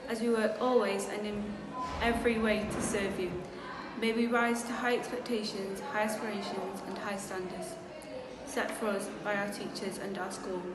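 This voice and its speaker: A teenage girl reading a prayer aloud. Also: medium pitch. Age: teens. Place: indoor school room. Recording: echoey reverberant room, loud noise.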